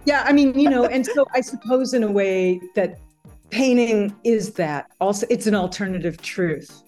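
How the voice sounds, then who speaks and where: A woman's voice: mid-range voice, moderate pace, a little mellow, faint music behind. A woman guest in a reflective conversational interview, thoughtful and searching for her words.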